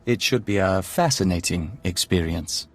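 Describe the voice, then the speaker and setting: An actor playing a calm, devoted hive-mind envoy in a video game, speaking formally and in a measured, serene way. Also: male voice, low-pitched, slight background noise. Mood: polite, thoughtful. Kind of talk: video game cutscene acting.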